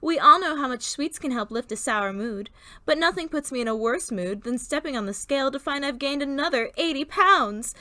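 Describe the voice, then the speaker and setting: A woman's voice: a little high, sharp tone, dry recording. A woman playing a perky, chatty 1950s radio advertisement housewife with chirpy sales patter. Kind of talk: 1950s radio commercial parody.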